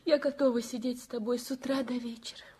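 An actress playing a young nurse and loving wife in a 1960s radio play, speaking in a soothing, pleading way. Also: female voice, fairly high pitch, steady tone, quick pace, dark tone, husky.

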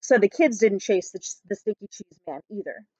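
A woman in her thirties reading a picture book aloud to schoolchildren with expressive storytelling. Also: medium pitch, moderate pace, no room sound. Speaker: school staff reading to pupils. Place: small book-lined room at home. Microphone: webcam at arm's length. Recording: dull, lo-fi webcam sound.

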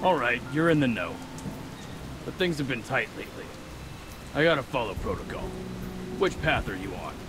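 An actor playing a gruff, blustery pirate guard in a video game, with a gravelly voice. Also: male voice, sing-song, moderate pace, pauses often, over music, natural voice. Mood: suspicious, demanding. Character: gruff, suspicious male pirate guard, hearty when won over. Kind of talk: video game character acting. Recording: loud rain and thunder rumble behind.

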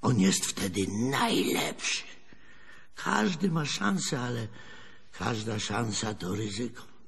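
Gravelly, husky old storytelling grandfather. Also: mid-range voice, expressive, slow pace, fairly bright tone, exaggerated voice. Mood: reflective, rueful. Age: older. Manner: rambling folksy storytelling, chuckling, rustic colloquial speech. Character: folksy old grandfather, railwayman's son, wistful and wry storyteller. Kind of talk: radio drama acting. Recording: long hall reverb.

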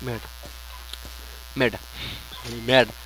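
A Brazilian man chats casually over his gameplay in a medium-pitched voice. He talks in slang and often mutters to himself. The home recording carries a noticeable electrical hum. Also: level tone, slow, fairly bright tone, poor audio. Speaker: gaming YouTuber.

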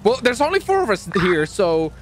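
A man's voice: high-pitched, huge pitch swings, normal speed, slight background noise. A man chattering live through a roleplay game on voice chat, exclaiming and swearing as he reacts aloud to the action. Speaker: gamer, channel host.

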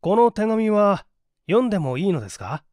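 A man's voice: sits high, sing-song, normal speed, darkish tone, no echo, studio quality, voice pushed up. A man voicing a courteous, inquiring male detective who asks probing questions in an audiobook mystery.

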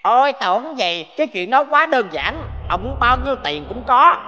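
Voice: mid-range voice, sing-song, moderate pace, sharp tone, dull audio, slight background noise. A performer playing a gleeful, scheming green dwarf demon in a cartoon, with a sly, theatrical delivery. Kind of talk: cartoon voice acting. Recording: long reverb, music behind.

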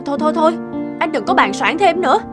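A woman's voice: sits high, lively intonation, quick pace, over music. A woman playing a distrustful, nagging village wife in a cartoon, scolding indignantly. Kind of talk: animated moral tale acting.

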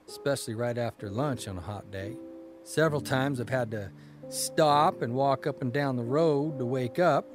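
A man's voice: mid-range voice, natural voice. A man playing a wry, wistful American traveling newspaper columnist, telling his stories in a folksy, reflective radio drama style. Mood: musing. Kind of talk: first-person period radio drama monologue.

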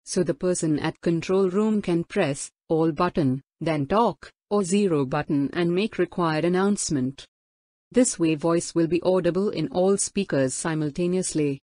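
A woman's voice: on the low side, unhurried, dark tone, dry recording. A woman giving a formal, instructional scripted product demo narration. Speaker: product demonstrator.

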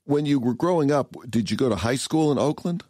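A man's voice: medium pitch, animated, brisk, dark tone, no echo, studio quality. An American man hosting a podcast, interviewing his guest conversationally.

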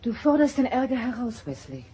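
An actress playing a caring, measured mother in a science fiction radio drama. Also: on the high side, very animated, dark tone. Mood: gentle, firm. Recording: noisy old cassette audio drama.

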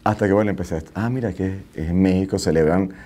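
A middle-aged Venezuelan-accented man chats as a studio guest. His voice is deep and mellow, and he talks quickly. He often repeats a phrase to press his point. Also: steady tone. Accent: Venezuelan Spanish. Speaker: interview guest, nightclub entrepreneur. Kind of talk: casual interview talk. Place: small indoor TV studio.

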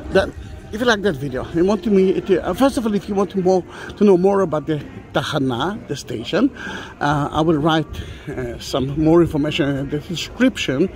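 A man's voice: a little high, moderate pace, darkish tone. A middle-aged man guiding a walking tour outdoors, talking hesitantly with many fillers and restarts. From Israel. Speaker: tour guide. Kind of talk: walking tour vlog, unscripted. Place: outdoor plaza of an old train station. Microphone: phone or camera carried while walking.

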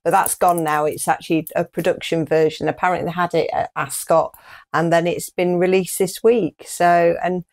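A middle-aged woman with a British accent speaks as a show co-host. Her voice sits a little low with plenty of gravelly creak, and her style is informal and conversational. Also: little pitch movement, moderate pace, darkish tone. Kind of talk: casual chat. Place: small furnished room indoors.

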